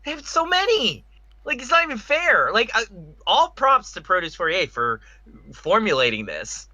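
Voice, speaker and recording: Casting: male podcast co-host, higher-pitched and sharp, enthusiastic casual chat. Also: big pitch swings, normal speed, no echo. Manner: enthusiastic, emphatic.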